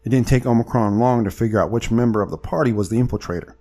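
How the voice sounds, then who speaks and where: An actor playing a plainspoken, loyal adventurer in a first-person audio drama, with some ambience behind him. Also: male voice, medium pitch, fast, mellow, lightly acted. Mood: reflective. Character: plainspoken, loyal male adventurer recounting his tale.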